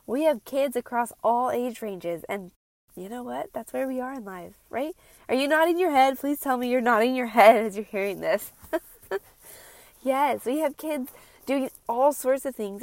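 A woman podcast host with a fairly high voice that often breaks into creaky fry. She sounds congested and nasal, as if her nose is stuffed. She talks informally and emphatically to the listener, repeating phrases for effect, in a close, dry recording. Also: no echo. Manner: informal, conversational, addresses listeners directly. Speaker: podcast host and health coach for moms. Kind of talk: chatty solo podcast episode.

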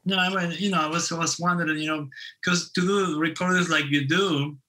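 A man's voice: on the high side, steady tone, fairly quick, sharp tone, rough recording. A man co-hosting an interview, asking eager, rambling technical questions. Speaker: co-host interviewer. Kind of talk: casual interview talk.

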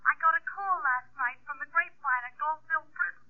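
A woman's voice: sits high, a little fast. A woman acting a convict's frightened wife in a 1940s radio drama, heard faintly through a telephone filter. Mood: fearful, secretive. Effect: telephone filter, faint and distant. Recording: thin phone line on old radio.

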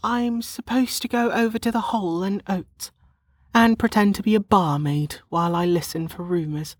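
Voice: mid-range voice, steady tone, moderate pace, dry recording, studio quality, pitched above the performer's own voice, natural voice. A narrator voicing a flustered, indignant young woman who protests bluntly, in an audiobook. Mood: exasperated. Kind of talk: audiobook character dialogue.

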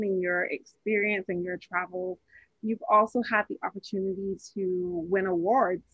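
A woman's voice: mid-range voice, slightly rough, dry recording, muffled recording. A woman from Barbados hosting a podcast interview, earnest and given to repeating phrases for emphasis. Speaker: podcast host.

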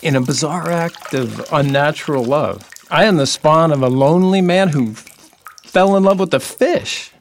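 A man in an audio drama playing a gentle, lonely half-human dolphin creature, telling things in a halting, dreamy, earnest way. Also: fairly high pitch, a little hiss or hum, lightly acted. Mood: solemn, confessing. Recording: music behind the voice.

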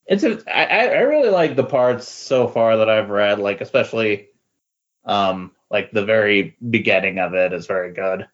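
Halting, rambling man, slightly dull sound. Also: fairly low pitch, lively intonation. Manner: halting, rambling, self-correcting. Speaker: returning podcast guest. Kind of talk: casual chat about comic books.

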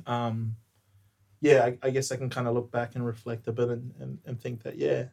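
A man's voice: medium pitch, moderate pace, gravelly. A young man from New Zealand, a podcast guest, chatting casually with many ums and easy laughs. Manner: relaxed, hesitant, many ums, laughs easily.

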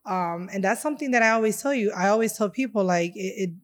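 A woman's voice: medium pitch, fast talker. A woman from Chicago, a guest in an interview, talking about her heritage in a heartfelt, rambling way with many fillers. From Chicago, USA. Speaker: interview guest, restaurant owner. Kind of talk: casual interview conversation.

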